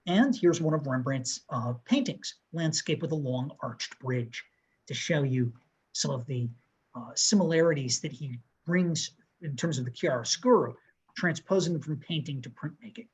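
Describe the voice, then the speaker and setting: A man in his thirties co-hosting a museum talk over a video call, walking viewers through artworks in a measured, descriptive way. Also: a little high, expressive, slightly rough. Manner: measured, descriptive, pointing out details. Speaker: museum co-host presenting artworks. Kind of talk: art history talk over slides. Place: room with bookshelves.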